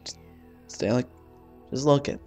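Slow-paced man reading a story aloud. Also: a little mellow. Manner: halting, stumbling over the text. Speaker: let's play host. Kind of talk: dramatic read-aloud of visual novel narration. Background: faint game music.

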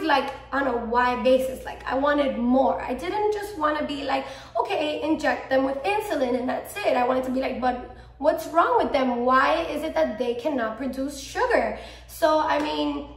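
A woman's voice: high voice, roomy sound. A woman of twenty-four from Miami with a Cuban-American accent, talking to camera in a casual, enthusiastic vlog from a small room at home. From Miami, Florida. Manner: bubbly, enthusiastic, gestures a lot. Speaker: vlogger, medical student.

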